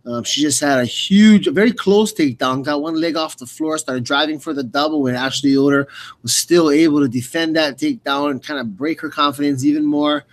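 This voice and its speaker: Male color commentator, somewhat high pitch, breaking down the action analytically for a sports broadcast. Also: brisk.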